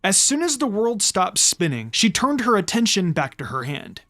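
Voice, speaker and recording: A storyteller reads fan fiction aloud as scripted narration. The pitch sits in a middle register and moves around in a lively, expressive way. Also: normal speed, soft background music. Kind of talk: scripted narration, audiobook-style story reading.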